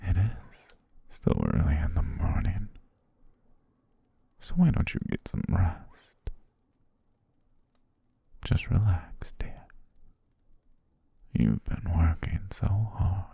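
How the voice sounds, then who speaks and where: A man voicing a doting, possessive butler in a gentle, coaxing ASMR roleplay, speaking intimately close to the microphone. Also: big pitch swings, no room sound, full character voice. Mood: soothing, coaxing. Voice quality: very deep, breathy, husky. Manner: slow, gentle, hypnotically coaxing, with pet names. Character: possessive, doting male yandere butler, soothing and controlling. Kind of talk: ASMR roleplay, intimate half-whispered monologue.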